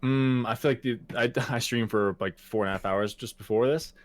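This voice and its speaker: A man in his late twenties chatting on a podcast, easygoing and a bit crude. He swears freely and laughs a lot, with an ordinary middle-pitched voice. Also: normal speed, no echo. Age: 20s. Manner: loose and profane, laughs often. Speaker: podcast co-host. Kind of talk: casual chat.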